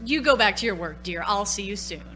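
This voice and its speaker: A woman's voice: medium pitch, expressive, a little fast, bright, forceful tone, soft background music. A woman acting an anxious suburban housewife and mother in a radio play, hesitant and theatrical. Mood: nervous, evasive.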